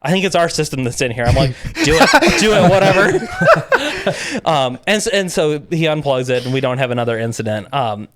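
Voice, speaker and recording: A man's voice: medium pitch, fairly quick. A man guesting on a podcast, telling event stories in a casual chat and acting out the back-and-forth as he goes. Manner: lively anecdotes, acting out quoted exchanges with laughs.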